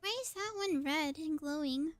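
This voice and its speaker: A woman with a high-pitched voice talks through a video game on a live stream. She is chatty and informal, thinking aloud in a casual commentary style. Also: moderate pace, dry recording.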